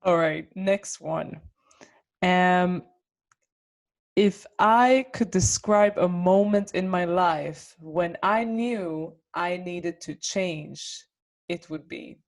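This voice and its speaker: A woman's voice: on the low side, drawn-out words. A woman in her twenties hosting an interview, asking questions in a friendly, giggly way. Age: 20s.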